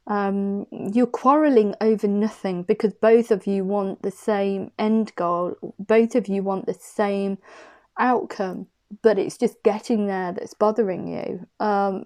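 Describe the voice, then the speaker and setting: A woman reads tarot cards in a chatty, effusive way, thinking aloud as she goes. Her voice is mellow and warm, sitting at an ordinary pitch for a woman. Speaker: tarot card reader. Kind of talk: intuitive tarot reading.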